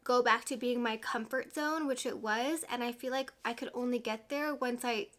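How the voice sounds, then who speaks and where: A woman's voice: a little high, fairly even intonation, moderate pace, a bit bright. A woman in her late twenties chatting candidly to her viewers as a YouTube vlogger, a little congested from a cold and full of "like" fillers. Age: 20s. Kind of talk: casual sit-down chat to camera.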